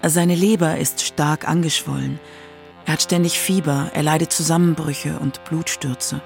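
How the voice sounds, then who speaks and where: A narrator reading a scripted radio documentary in a measured, prepared way. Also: mid-range voice, fairly even intonation, vocal fry, faint music behind.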